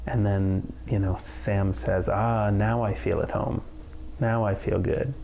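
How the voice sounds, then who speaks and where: A man's voice: on the low side, fairly even intonation, slow pace, vocal fry, warm tone, a little hiss or hum. A man in his thirties, a film producer, answering interview questions hesitantly with many fillers, in a home office on a lapel mic. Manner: hesitant, many ums and you-knows.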